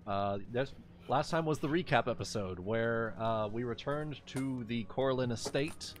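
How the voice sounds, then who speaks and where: Slow-paced man running a game over music. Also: mid-range voice. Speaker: game master. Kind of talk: casual livestreamed tabletop game narration. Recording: music playing behind the voice.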